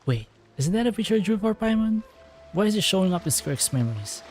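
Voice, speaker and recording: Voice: mid-range voice, very animated, quick pace, darkish tone, soft background music. A game streamer casually commenting on a story quest, musing and reacting aloud as they play.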